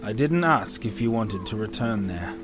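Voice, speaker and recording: A man's voice: on the low side, animated, normal speed, natural voice. A man playing an earnest, caring commander in a fantasy audio drama, with music behind him. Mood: sincere, caring.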